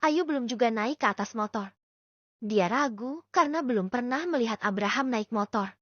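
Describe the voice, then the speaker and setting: High-voiced woman narrating a story. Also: lively intonation, moderate pace, fairly bright tone, dry recording. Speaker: audiobook narrator. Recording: dull lo-fi dry recording.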